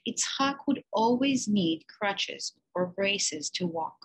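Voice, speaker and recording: A teacher reading a children's picture book aloud, slow and expressive with emphatic pauses. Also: female voice, mid-range voice, slight background noise, rough recording.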